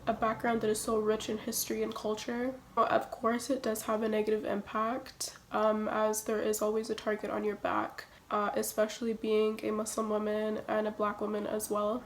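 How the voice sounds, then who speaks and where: A woman's voice: a little high, a little hiss or hum. A young woman with a Canadian accent who gives a personal testimony as a community project participant.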